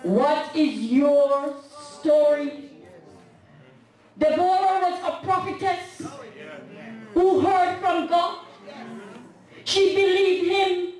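A middle-aged woman preaching a sermon at a women's convention, half-singing her lines and rising to shouts. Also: very high-pitched, extremely slow, pauses often. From Canada. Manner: impassioned preaching, half-sung, with shouted peaks. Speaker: guest speaker at women's convention. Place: church sanctuary. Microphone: handheld mic. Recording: echoey church sound from a distant camera.

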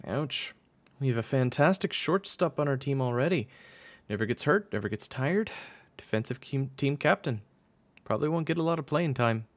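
A man voicing a gruff, sardonic male baseball coach with a brusque, casual drawl in an audiobook story. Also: medium pitch, expressive, normal speed, pitched above the performer's own voice, lightly acted. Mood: brisk, businesslike.

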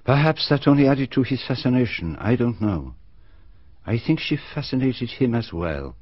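An actor playing a shrewd, self-assured Belgian detective in a radio drama, with a French-speaking Belgian accent and a deliberate, theatrical way of explaining. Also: male voice, mid-range voice, sing-song, no echo, muffled recording. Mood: grave, probing.

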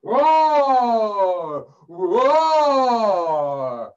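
A man voicing a big lion in a children's story, dramatic and loud, roaring and wailing. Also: high voice, sing-song, extremely slow, far from the mic, muffled recording, voice pushed up, full character voice. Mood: furious roaring. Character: big angry lion, roaring and despairing when trapped.

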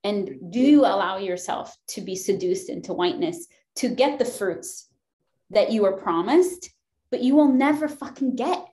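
Emphatic, animated woman on a video call. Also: mid-range voice, normal speed. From Lebanon. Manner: emphatic, animated, swears, laughs often. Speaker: podcast guest. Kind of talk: passionate conversational discussion. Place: room at home, indoors. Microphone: video call webcam.

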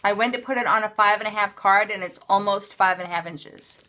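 A woman with a bright, forward voice at an average pitch. She talks casually, as in an unscripted show-and-tell. Her delivery is chatty and offhand, and she often breaks into her own sentences. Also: fairly quick, a little hiss or hum. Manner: chatty, self-interrupting, offhand. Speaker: craft haul vlogger. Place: indoors at a craft table.

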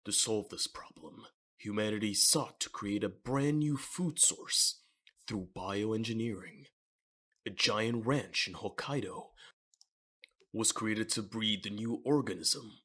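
Male narrator for manga audio drama, low register, rough-edged husky tone, dramatic scripted read. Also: slow pace, fairly bright tone.

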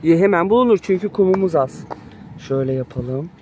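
A woman's voice: lowish voice, lively intonation, a little fast, dark tone, lo-fi sound, slight background noise. Playful mother filming kids outdoors. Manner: playful, coaxing, laughs often. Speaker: mother filming her children. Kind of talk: casual family vlog narration. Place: backyard play area outdoors. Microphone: handheld phone camera.

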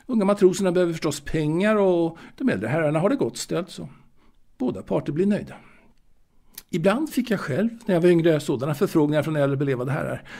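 A man's voice: on the high side, vocal fry, a little mellow, exaggerated voice. A man playing a proud, naive young confectionery seller reminiscing in an intimate audio drama monologue, hesitant and repeating his words.

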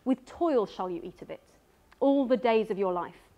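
A woman in her thirties giving a prepared talk on a dark stage, with an earnest, measured delivery as she reads from her notes. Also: a little high, expressive, dull audio. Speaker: speaker. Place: dark stage before an audience.

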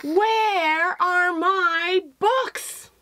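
An upset, bewildered little storybook boy, acted out by a woman reading aloud. The voice jumps very high and stretches words out slowly into exclamations. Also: full character voice. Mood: indignant. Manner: loud drawn-out exclamations.

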